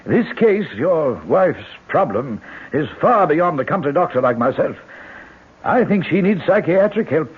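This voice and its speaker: A man playing a frank, grave country doctor in a 1970s radio drama, speaking in a measured, emphatic, formal way. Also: a little high, very animated, husky, narrow, tinny audio, full character voice. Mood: grave, insistent.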